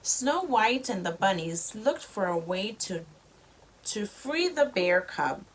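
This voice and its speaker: A woman reading a storybook to a young child as a mother, with expressive delivery and simple explanations. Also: mid-range voice, takes it slowly, rough recording.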